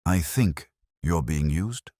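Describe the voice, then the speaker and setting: A man voicing a shrewd, grave detective in an audiobook, speaking deliberately and pressing his point. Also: rumbling low, lively intonation, dark tone, dry recording, clean studio sound, heavily acted. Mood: grave, probing. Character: shrewd, grave, probing male Victorian detective. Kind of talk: audiobook character dialogue.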